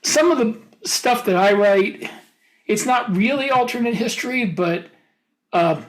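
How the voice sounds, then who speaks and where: A man's voice: high-pitched, expressive, measured pace, rough recording. A man co-hosting a podcast, chatting informally as one of a panel. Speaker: podcast co-host. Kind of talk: casual panel chat.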